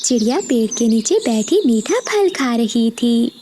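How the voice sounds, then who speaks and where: Lady narrator for a kids cartoon story, high voice, very expressive pitch, faint noise behind. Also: unhurried. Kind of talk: scripted children's story narration.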